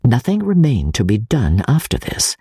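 A man narrating classic literature in the first person as a dramatic reading, in a measured, formal, deliberate manner. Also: mid-range voice, wildly swooping pitch, warm tone, breathy edge, dry recording, clean studio sound. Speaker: audiobook narrator.

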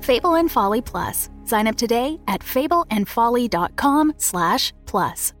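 A woman delivers a scripted promotional read as a network announcer. Her voice sits fairly high. Music plays clearly behind her. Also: very animated, a little slow.

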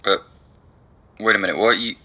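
A man's voice: fairly low pitch, moderate pace, bright, forceful tone. An actor playing a concerned, level-headed male friend in naturalistic audio drama dialogue.

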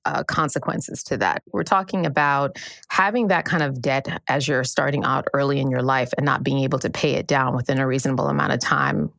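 A woman reporter with a low voice explains her findings in a clear, measured way, the sound dry and close. Her delivery is steady and informative. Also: no room sound. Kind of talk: explaining in a news podcast interview.

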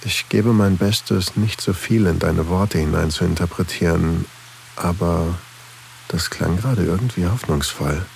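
A man's voice: deep voice, a little slow, creaky, slight background noise. A man acting a flirtatious single father in an intimate erotic audio drama, teasing and a little hesitant, narrating his own thoughts. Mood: aroused, musing.

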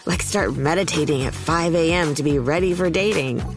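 A woman's voice: low-pitched, moderate pace. A woman reading a scripted ad in a casual, sassy, friend-to-friend tone. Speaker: ad announcer. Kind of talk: scripted chatty ad read. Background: music bed.

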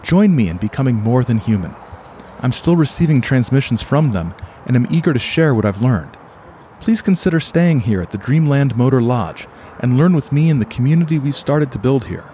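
A man's voice: mid-range voice, lively intonation, normal speed, warm tone, a little hiss or hum, lightly acted. Earnest, awkward motel man, played by an actor. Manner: earnest, hesitant. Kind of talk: audio play acting and first-person narration.

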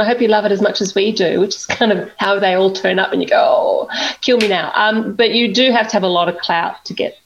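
A woman's voice: medium pitch, gravelly, muffled recording, slight background noise. A woman novelist, talking candidly in an interview in a chatty, self-deprecating way and laughing often. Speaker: novelist guest.

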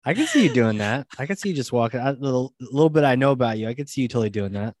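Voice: medium pitch, expressive, very fast, a little mellow, no room sound, studio quality. A host asking a guest eager questions in a casual interview. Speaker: podcast host.

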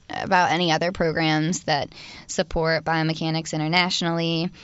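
A woman's voice: low-pitched, clean tone, no echo. Woman hosting a science podcast, easygoing, dry dead room, kinda lo-fi. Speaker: podcast host. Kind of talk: casual podcast chat and scripted intros. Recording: dry, dull lo-fi audio.

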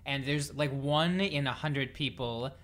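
A woman with a very deep voice and an American accent hosts a music conversation. She chats casually and agreeably with her guest. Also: measured pace, a bit bright. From Saint Paul, Minnesota, United States. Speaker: music show host interviewing a guest. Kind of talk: casual chat.

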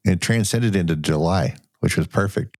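A man's voice: low-pitched, normal speed, a little mellow, no echo, studio quality. A man co-hosting a casual podcast, congested from a lingering cough, loose and profane, laughing often. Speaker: podcast co-host. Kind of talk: casual chat.

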